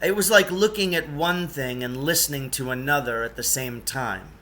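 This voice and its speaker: A man's voice: medium pitch, moderate pace, pushed and bright. A man reading a children's novel aloud as narration.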